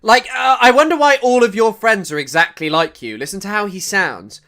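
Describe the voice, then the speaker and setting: A man's voice: high-pitched, animated, brisk, fairly bright tone. A man in his twenties giving casual, mocking reaction commentary, rambling with lots of fillers and restarts. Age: 20s.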